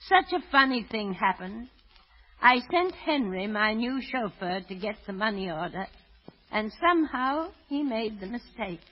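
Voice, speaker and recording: An older actress playing a poor, anxious, doting old woman who sells apples, with halting, fretful delivery in a 1930s radio drama. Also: fairly high pitch, big pitch swings. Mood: tender, muttering. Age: 60s or older. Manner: halting, fretful, muttering to herself. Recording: dull vintage radio recording.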